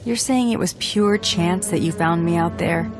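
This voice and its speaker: A woman's voice: on the low side, normal speed, lightly acted. An actress playing a tough, skeptical female bounty hunter in a video game, direct and guarded. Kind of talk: video game dialogue acting. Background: background music.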